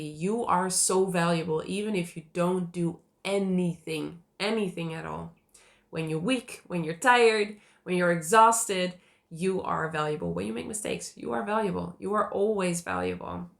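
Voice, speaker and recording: A woman with a cold and a hoarse voice, teaching in earnest to camera and reassuring viewers by repeating key points. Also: lowish voice, expressive, a little slow. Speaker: attachment style coach.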